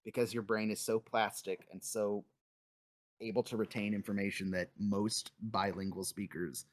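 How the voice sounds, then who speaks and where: A man hosting a podcast in a relaxed, conversational way, often trailing off quietly. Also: lowish voice, normal speed. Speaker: podcast host. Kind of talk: casual podcast chat.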